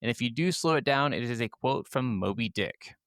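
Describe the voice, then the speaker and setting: A man's voice: mid-range voice, expressive, fairly quick, a bit bright, no echo, clean studio sound. A man co-hosting a gaming podcast, talking casually. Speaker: podcast co-host.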